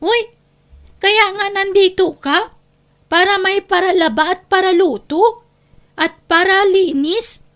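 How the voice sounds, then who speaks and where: A woman's voice: extremely high pitch, slow pace, pauses often, fairly bright tone, heavily acted. A woman playing a brazen, possessive young mistress who taunts her rival in a radio drama. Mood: taunting.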